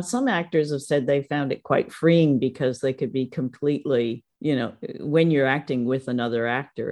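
A woman's voice: extremely low pitch, a little mellow. A woman hosting an interview in an encouraging, affirming way. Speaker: interview host. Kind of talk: casual interview chat.

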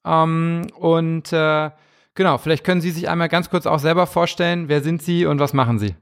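A man's voice: a little high, steady tone, normal speed, clear, resonant tone. A man hosting a podcast interview in a conversational way, feeling his way through wordy, rephrased questions.

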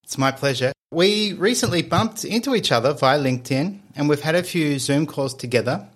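A man's voice: a little high, lively intonation, moderate pace. A man in his early fifties hosting a podcast interview in a conversational style. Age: early 50s. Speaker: podcast host.

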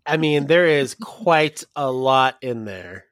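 A woman's voice: a bass voice, animated, slow pace, dry recording, clean studio sound. A young woman co-hosting a podcast, chatting playfully and teasing her co-host. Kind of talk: casual chat.

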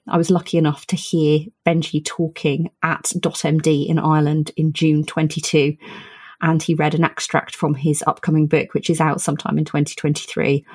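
A woman's voice: low-pitched, a little mellow, breathy edge. A middle-aged woman giving a prepared book review as a podcast guest, enthusiastic and emphatic in her delivery. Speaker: healthcare professional, podcast guest.

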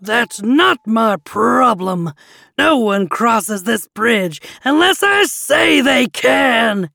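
A woman voicing an enormous angry male troll who shouts gruff, forceful commands in a children's story. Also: a little high, drawn-out words, dry recording, clean studio sound, exaggerated voice. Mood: angry, menacing.

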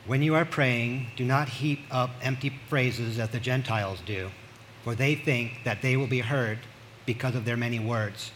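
Man reading bible passage, average pitch, slight noise in the background. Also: normal speed, a bit bright, low-quality recording. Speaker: scripture reader. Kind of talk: read-aloud Bible passage. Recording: faint background ambient noise.

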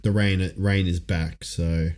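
A man co-hosting a podcast in casual chat, easygoing and quick to laugh. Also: sits low, flat delivery, a little mellow. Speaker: podcast co-host.

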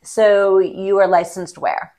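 A woman's voice: medium pitch, little pitch movement, takes it slowly, dark tone. A woman hosting an interview, asking questions and encouraging her guest with short affirmations. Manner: encouraging, frequent short backchannels. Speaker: podcast host.

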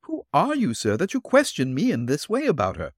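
A man voicing an elderly, refined and gentle landlady in a raised, polite voice. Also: huge pitch swings, fairly quick, no room sound, clean studio sound. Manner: polite, flustered, high put-on voice. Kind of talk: audiobook character reading.

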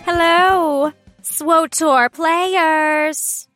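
A woman's voice: very high voice, steady tone, very slow, over music. A woman co-hosting a podcast with casual, comedic banter in a playful, jokey manner. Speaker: podcast co-host.

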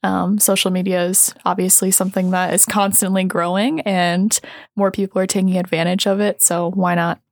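A woman's voice: lowish voice, level tone, moderate pace, darkish tone, dry recording. A young woman from South Dakota, a summer intern, speaking thoughtfully in a casual interview with frequent ums. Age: young adult. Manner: thoughtful, many ums and uhs.

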